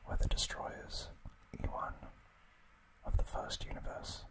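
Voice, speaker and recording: A man whispering an ASMR recap narration in short, hushed, unhurried phrases. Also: low-quality recording.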